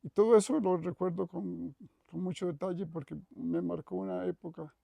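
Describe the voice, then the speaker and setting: An older man with a Costa Rican accent speaks in a measured, reflective way, stopping now and then to correct himself. His voice sits fairly high but is heavily gravelly and mellow. The recording is close and dry. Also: fairly even intonation, no room sound. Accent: Costa Rican Spanish. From Costa Rica. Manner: thoughtful, measured, with frequent restarts and self-corrections. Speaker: retired professor interviewed. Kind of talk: reflective interview reminiscence.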